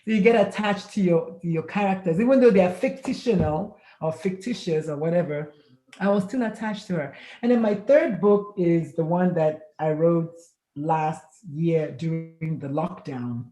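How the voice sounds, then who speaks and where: Animated woman in her fifties, roomy sound. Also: fairly low pitch, normal speed. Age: 50s. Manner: animated storyteller, acts out quoted dialogue, laughs often. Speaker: author and pediatrician guest. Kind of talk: casual interview chat.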